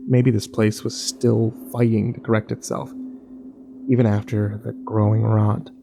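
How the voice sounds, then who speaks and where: A man's voice: on the low side, flat delivery, a little slow, creaky, music in the background. A man playing a troubled, wary survivor who narrates his ordeal in a brooding first-person horror drama.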